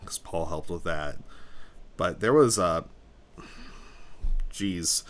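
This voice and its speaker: Male stream host with a low, deep voice; chatty, rambling, eager. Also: takes it slowly. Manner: rambling, excitable fan talk. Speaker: livestream host. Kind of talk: casual livestream chat.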